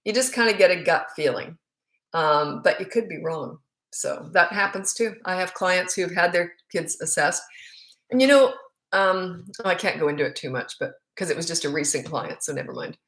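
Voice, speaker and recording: A woman's voice: on the low side, moderate pace, a bit bright, poor audio. Canadian lady parenting coach chatting live, frank and kinda rambly. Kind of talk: live Q&A answering viewer comments.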